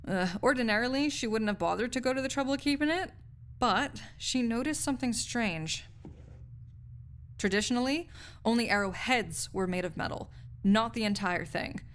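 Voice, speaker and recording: A woman's voice: a little high, moderate pace, slight background noise, close to an everyday voice. A woman playing a snarky, skeptical university research assistant in an audio roleplay, speaking articulately in a conversational, lecturing way with dry asides. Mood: intrigued, explaining.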